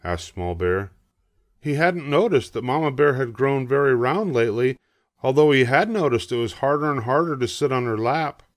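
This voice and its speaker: An older man narrating a children's storybook, reading aloud in a measured, expressive way. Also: mid-range voice, no room sound, clean studio sound.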